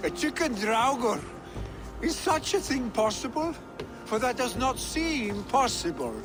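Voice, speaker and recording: An actor playing a frank, restless young Viking heir in a video game cutscene, speaking in an earnest, conversational way. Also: male voice, very high voice, big pitch swings, slow pace, heavily acted. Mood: amused disbelief. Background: prominent music.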